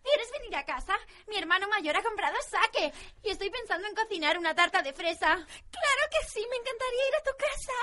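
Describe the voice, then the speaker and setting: A woman playing an excitable, lovestruck anime schoolgirl in an improvised radio parody. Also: very high voice, brisk, pauses often, full character voice. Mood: excited.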